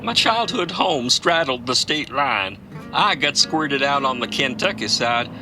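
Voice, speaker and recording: An actor playing a world-weary Kentucky trucker in an audio drama, telling stories in a rustic, profane drawl. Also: male voice, medium pitch, expressive, a little slow, music in the background, natural voice, low-quality recording. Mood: wry reminiscing. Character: folksy Kentucky trucker, world-weary man haunted by his past.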